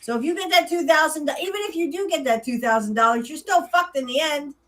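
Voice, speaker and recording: A woman's voice: high-pitched, fast talker, fairly bright tone. A woman in her late thirties on a casual panel show, who talks in a blunt, profane and sarcastic way. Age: 30s.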